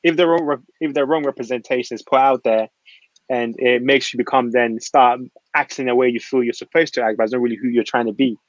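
Fast-talking man on a muffled video call. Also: mid-range voice, steady tone, dry recording. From Ghana. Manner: earnest, rambling, many filler phrases. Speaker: panelist. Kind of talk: panel discussion on a video call. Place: small room at home. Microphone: laptop webcam on a video call.

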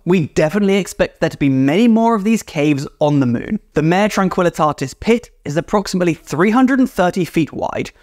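A young man hosting a science explainer, talking to camera with clear, enthusiastic delivery from a small room. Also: fairly high pitch, no echo, studio quality. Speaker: science video host. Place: small indoor room with shelf of models.

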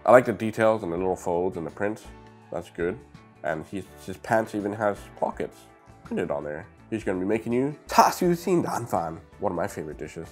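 A middle-aged man with a lowish voice chats through a toy review in an upbeat, direct way, a little sniffly from a stuffy nose. He is recorded on a clip-on mic in a room full of shelves. Faint music plays behind him. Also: animated, normal speed, husky. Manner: chatty, upbeat, talks to viewers directly. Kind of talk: casual product review. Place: indoor room lined with shelves of brick sets. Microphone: clip-on lapel mic.

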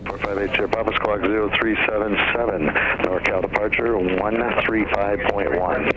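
A man's voice: on the low side, moderate pace. A man giving clipped instructions as an air traffic controller over aviation radio.

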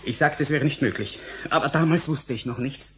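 A man's voice: fairly high pitch, fairly quick, noisy background, close to an everyday voice. A man playing an earnest, blunt young scientist in a German radio drama, explaining things emphatically and impatiently. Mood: firm, explaining.

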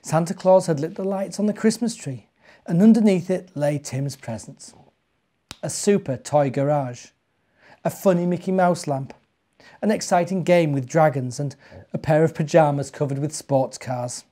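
A British man in his forties reading a children's storybook expressively and deliberately, as if to young listeners. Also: on the high side, mellow. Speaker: church minister reading stories to children. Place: living room, indoors.

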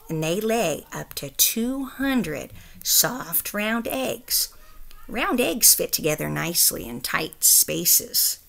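A middle-aged woman reads a picture book aloud like a teacher. Her voice is bright, with a touch of creak, and she takes her time over each carefully stressed phrase. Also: fairly low pitch. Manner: careful, expressive, emphatic phrasing for young listeners. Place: small room at home, indoors.

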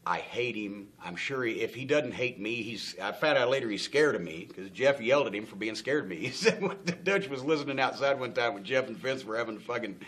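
A man's voice: mid-range voice, pushed and bright. A middle-aged man telling a long backstage story in a studio interview, acting out the people he quotes, swearing freely and laughing often. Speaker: interview guest. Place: indoor studio set with stage lights.

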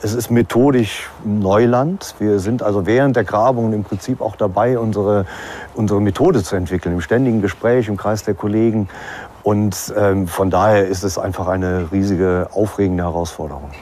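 A man's voice: fairly low pitch, steady tone, normal speed, darkish tone. Hesitant male expert, faint background noise. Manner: hesitant, searching for words. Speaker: archaeologist, excavation director. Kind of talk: unscripted interview explanation.

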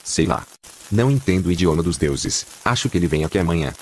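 Casting: man as a cheeky, clueless Brazilian cartoon bomber, low-pitched, rapid, music underneath. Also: animated, slight background noise.

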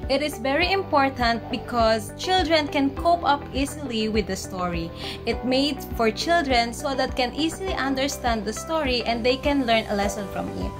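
A woman's voice: high voice, lively intonation, normal speed. A young adult woman with a Filipino accent giving a simple student lesson presentation in a plain room. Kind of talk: semi-scripted lesson presentation. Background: music.

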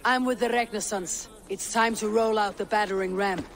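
An actor playing a wry, confident Viking raider in a video game. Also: male voice, sits high, steady tone, normal speed, gravelly, a bit bright.

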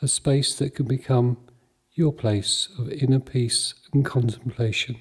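A man leading a guided mindfulness meditation in a calm, measured, soothing manner, leaving long pauses between short phrases. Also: medium pitch, breathy edge.

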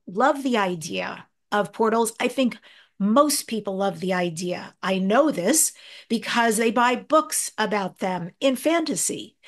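Enthusiastic woman hosting an interview. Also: fairly high pitch. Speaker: host. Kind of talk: podcast interview. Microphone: video call.

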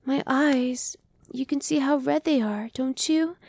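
A young woman voicing a gentle female vampire who speaks softly and slowly, sometimes whispering. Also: sits high, vocal fry, no echo. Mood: soothing. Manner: soft, slow and reassuring, dropping to whispers. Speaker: voice actress. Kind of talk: ASMR roleplay.

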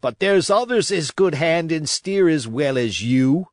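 A man reading a storybook, voicing an impatient, mutinous pirate coxswain who grumbles in rough sailor speech. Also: fairly high pitch, expressive, unhurried, a little mellow, dry recording, clean studio sound. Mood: gruff, defiant.

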